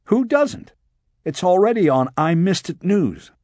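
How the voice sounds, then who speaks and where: A male narrator acts out a female federal agent in a crime audiobook. She is sharp, sarcastic and exasperated, with a lifted pitch that swings in a scolding way. The sound is dry. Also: very animated, a little slow, dry recording. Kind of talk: audiobook character dialogue.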